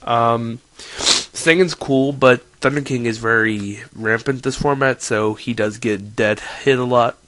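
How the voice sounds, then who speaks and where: A man's voice: medium pitch, takes it slowly, a bit bright, dry recording, rough recording. A young man with a stuffy nose from a cold, casually explaining his card game deck in a rambling, self-correcting way.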